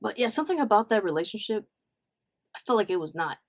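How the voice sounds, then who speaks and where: A woman talks casually, as if telling a long story to friends online. She is blunt and slangy, often breaking off for asides. Her delivery is animated and conversational. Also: medium pitch, fast. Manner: animated, blunt, swearing, acts out quotes. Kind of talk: casual story time vlog.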